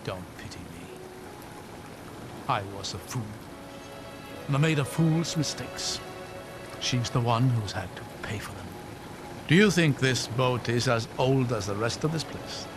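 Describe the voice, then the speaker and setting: A man's voice: mid-range voice, lively intonation, a little slow, long pauses, over music, natural voice. A man acting a wry, regretful fugitive companion. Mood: dry wry. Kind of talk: video game cinematic acting.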